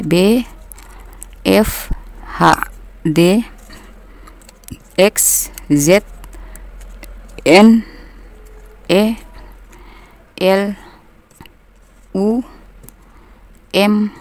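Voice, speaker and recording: A woman from North Maluku with her regional accent, giving a gentle, unhurried tarot reading as its host. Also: mid-range voice, creaky. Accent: North Maluku Indonesian. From North Maluku, Indonesia. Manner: gentle, unhurried, reassuring, with soft laughs. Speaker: tarot reader. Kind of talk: casual tarot reading monologue.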